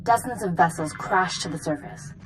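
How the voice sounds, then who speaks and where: Game officer: resolute female knight commander played by a woman, lowish voice, brisk and authoritative. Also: a little hiss or hum, natural voice, rough recording. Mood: resolute, urgent. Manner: brisk, earnest, authoritative briefing. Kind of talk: video game dialogue acting.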